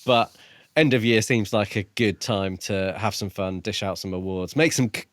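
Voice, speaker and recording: A man's voice: fairly low pitch, normal speed, dry recording. A man co-hosting a casual book discussion podcast, talking with dry, wry humour. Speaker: podcast co-host.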